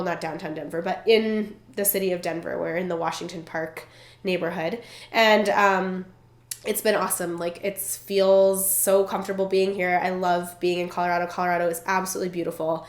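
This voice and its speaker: Chatty congested woman in a roomy room. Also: medium pitch. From Vermont. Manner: chatty, bubbly, lots of fillers. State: stuffed up, congested. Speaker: vlogger. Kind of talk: casual chat to camera. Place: indoors at a relative's home. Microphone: camera in front while seated. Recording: roomy echo.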